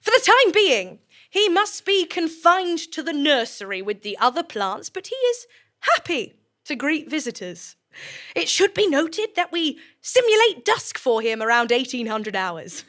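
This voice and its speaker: A woman playing a formal, composed director of a sinister research facility, giving measured, officious speeches to staff in an audio drama. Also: high-pitched, sing-song, a bit bright, heavily acted. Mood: reassuring, officious.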